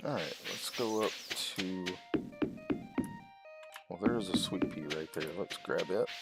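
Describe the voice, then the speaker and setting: A man giving casual let's-play commentary, unhurried and thinking aloud as he plays. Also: low voice. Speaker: gaming video host. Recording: loud game music behind voice. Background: video game music.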